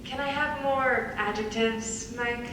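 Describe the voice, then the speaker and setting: A woman acting as a calm, probing therapist in a live script reading, measured and questioning. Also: on the high side. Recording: distant echoey hall, clear background noise.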